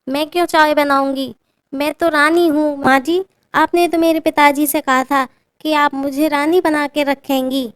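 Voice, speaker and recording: A woman's voice: sits high, no echo, clean studio sound, pitched above the performer's own voice. A woman voicing a spoiled young bride who acts like a haughty queen, talking in a whiny, demanding way.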